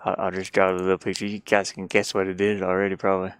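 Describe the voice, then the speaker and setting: Male streamer, low-pitched and clear, unhurried and halting, casual and self-deprecating, dry close sound. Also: monotone, no echo. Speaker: livestreamer. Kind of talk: casual livestream chat while sketching.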